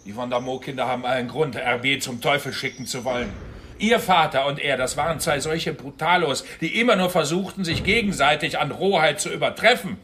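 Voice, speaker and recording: A man's voice: on the high side, a little fast, pushed and bright, a little hiss or hum. An actor playing a blunt man with a biting temper in a radio crime drama, speaking emphatically in coarse words. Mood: scornful, gruff.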